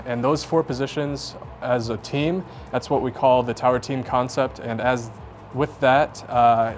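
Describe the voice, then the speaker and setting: Young American man explaining, music behind. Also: medium pitch. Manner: plain-spoken, conversational, explanatory. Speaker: air traffic controller giving a tour. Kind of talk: informal explanatory tour talk.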